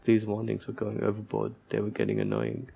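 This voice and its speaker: Male let's player, heavy vocal fry, casual read-aloud with offhand joking commentary, muffled low-fidelity recording. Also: big pitch swings, fairly quick. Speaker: let's player reading a visual novel aloud. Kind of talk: casual gaming commentary mixed with reading game text aloud.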